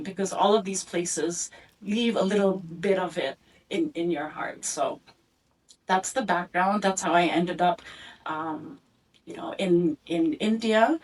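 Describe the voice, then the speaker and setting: A middle-aged woman presenting her family story in a reflective talk with many pauses. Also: lowish voice. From Philippines and Indonesia, raised abroad. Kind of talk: storytelling talk with photo slides. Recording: voice from a distance.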